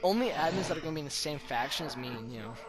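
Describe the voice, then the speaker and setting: Fast, stuttering young man over loud noise. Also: fairly high pitch. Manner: fast, excitable, frequent stutters and fillers. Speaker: server host. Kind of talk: casual chat while gaming.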